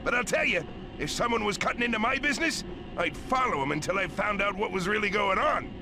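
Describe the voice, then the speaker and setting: An actor playing a greedy, blustery old crab boss with a gravelly, salty sea-captain manner in a cartoon game. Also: male voice, high-pitched, lively intonation, a little fast, background noise. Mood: jovial, greedy. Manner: blustery, salty pirate-like talk. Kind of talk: video game cartoon acting.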